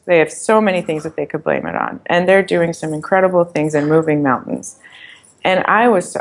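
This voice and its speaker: Gravelly, upbeat woman founder. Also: lowish voice. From Iran, raised in the US. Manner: upbeat, motivational, emphatic. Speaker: startup founder, interview guest. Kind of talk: casual podcast interview.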